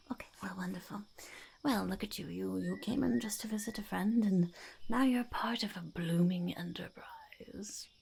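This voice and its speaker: A woman acts out a scheming little goblin businesswoman in an ASMR roleplay. She is blunt and mock-grand, speaking softly in a heavily creaky voice that keeps dropping to a whisper. The close recording carries a faint layer of noise. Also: medium pitch. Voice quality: creaky, often breaking into whisper. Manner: third-person self-reference, mock-grand, haggling. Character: scheming, blunt, self-important female goblin entrepreneur.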